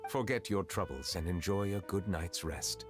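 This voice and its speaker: A man voicing a calm, formal, courteous male character in a fantasy video game, with measured speech. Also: low voice, faint music behind.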